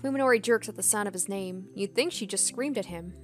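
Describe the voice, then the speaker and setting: A woman narrating a visual novel aloud as a let's player, expressive, with casual commentary. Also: medium pitch, fast talker. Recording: background music under the voice. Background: game music.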